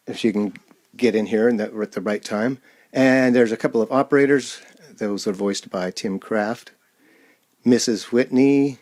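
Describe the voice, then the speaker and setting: Male host, fairly low voice, informal and unscripted, stop-and-start delivery. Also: a little mellow. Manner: halting, off-the-cuff. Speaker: host and amateur radio-play performer. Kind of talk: casual introduction to an audience.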